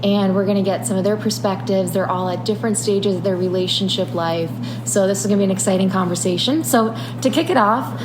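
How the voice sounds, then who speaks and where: A woman's voice: mid-range voice, a little fast, few pauses, noisy background. A woman hosting a relationship podcast, reading a scripted intro and then interviewing guests in an upbeat, encouraging way. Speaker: podcast host.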